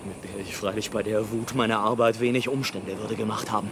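An actor playing an exalted airship pilot in a literary radio play, declaiming poetically and theatrically. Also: male voice, fairly low pitch, steady tone, brisk, noisy background, lightly acted. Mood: frantic excitement. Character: exalted male airship pilot, wildly agitated, then awestruck and solemn. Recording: 1980s radio drama production with music.

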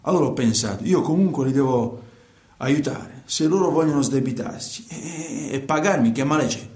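An actor playing a guilty, self-justifying truck driver in a radio play, with a southern Italian accent and a halting, repetitive, colloquial delivery. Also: male voice, on the high side, a little mellow, close to an everyday voice. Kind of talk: radio theatre monologue, colloquial confession. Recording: echoey room.

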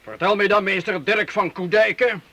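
An actor playing an imperious, stern medieval count with a haughty, formal manner in a radio drama. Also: male voice, sits high, normal speed. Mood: stern questioning. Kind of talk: historical radio drama acting. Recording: very noisy old radio recording.

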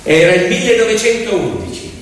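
Man, theatrical narrator, higher-than-average voice, emphatic and rhythmic, very echoey hall, stage performance. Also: animated, moderate pace, far from the mic. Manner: emphatic, declamatory, rhythmic repetition. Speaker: stage storyteller and actor. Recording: echoey hall from afar, loud music. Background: loud piano music.

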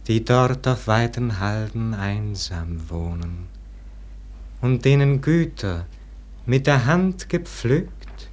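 An actor playing a melancholy, yearning nobleman in a classic radio verse drama, declaiming lyrically in standard German stage diction. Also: medium pitch. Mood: fervent longing. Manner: solemn, lyrical, drawn-out declamation.

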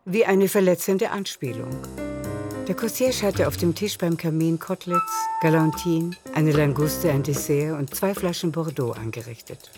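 A woman's voice: sits low, normal speed, music in the background. A woman narrating a literary radio drama in scripted prose.

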